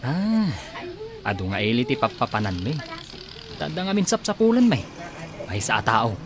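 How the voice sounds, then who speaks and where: A guarded investigator played by a man in a radio play, with a medium pitch and animated rises and falls. He questions and bargains amid crowd sounds. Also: normal speed, lightly acted. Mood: probing, guarded. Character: guarded male investigator searching from town to town. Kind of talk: Ilocano radio drama acting.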